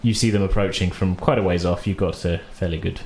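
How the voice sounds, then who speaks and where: A man's voice: low-pitched, fairly even intonation, fast, darkish tone, slight background noise. A man narrating casually as game master, improvising informally with the odd chuckle.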